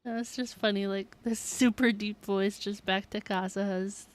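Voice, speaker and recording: A woman's voice: mid-range voice, steady tone, moderate pace. A woman chatting casually on a game livestream, informal and reacting as she plays. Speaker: game streamer.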